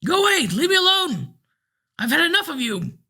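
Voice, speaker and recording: A man's voice: very high voice, lively intonation, moderate pace, gravelly, sharp tone, pitched above the performer's own voice, full character voice. A man reading a story aloud, voicing a frail, cranky eighty-year-old man with a thin, piping voice. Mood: angry.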